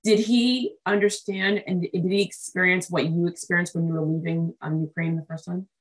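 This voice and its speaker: A woman podcast host from a Haitian-American family, talking in a chatty, effusive way full of likes and ums. Her voice sits a little low, and she sounds warm with her guest. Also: steady tone, moderate pace, poor audio. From United States, Haitian family. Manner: effusive, chatty, lots of fillers. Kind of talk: casual interview chat.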